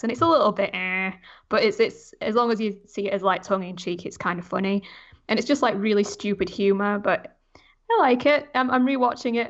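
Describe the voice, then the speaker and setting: A woman's voice: medium pitch, dull audio. A woman chatting casually and giving her opinions as a podcast co-host. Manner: informal, opinionated. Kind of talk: casual chat.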